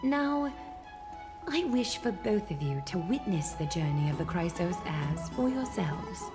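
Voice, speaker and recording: Solemn game priestess: low, breathy, deliberate, commanding, music behind. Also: female voice, big pitch swings. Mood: solemn, instructive. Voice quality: breathy, at times whispering. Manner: slow, deliberate, formal and commanding. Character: serene, solemn priestess guiding a sacred water ritual. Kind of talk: video game voice acting. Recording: background music under game dialogue.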